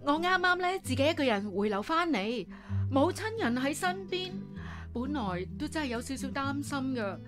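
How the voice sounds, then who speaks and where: Very high-pitched, emotional devout woman. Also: sing-song, moderate pace, music in the background. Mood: emotional gratitude. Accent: Hong Kong Cantonese. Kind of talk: radio drama acting.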